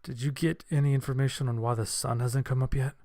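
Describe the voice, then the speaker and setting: A man's voice: mid-range voice, fairly even intonation, fast talker, warm tone, no room sound, clean studio sound. A man narrating a scripted horror story in the first person.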